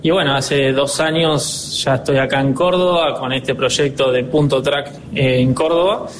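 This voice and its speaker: Argentine man in his twenties, a dealership director interviewed on radio, mid-pitched voice, faint rumble behind. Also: steady tone, a little slow. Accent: Argentine Spanish. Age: 20s. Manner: earnest, upbeat, with many filler hesitations.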